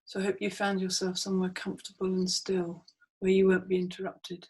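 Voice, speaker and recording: A woman guiding a meditation in short, hypnotic phrases with long pauses, speaking as a narrator to a listener. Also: medium pitch, completely flat delivery, a little mellow, rough recording. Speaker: meditation guide. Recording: clearly audible background noise, ambient soundscape. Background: ambient soundscape.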